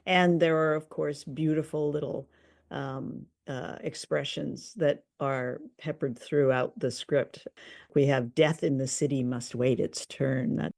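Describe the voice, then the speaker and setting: Gravelly-voiced woman hosting a review chat. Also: deep voice, steady tone, measured pace, darkish tone, dry recording, studio quality. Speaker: host and commentator. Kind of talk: conversational commentary.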